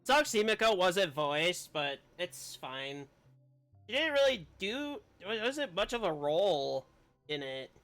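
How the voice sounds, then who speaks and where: Guy streaming a game, chatting casually, bright clear highish voice, music in back. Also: big pitch swings, slow pace. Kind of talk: casual live gameplay commentary.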